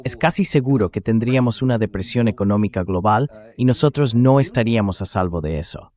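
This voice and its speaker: Low-pitched synthetic man giving news commentary. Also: a little mellow. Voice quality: synthetic AI translation voice. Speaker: host commentator.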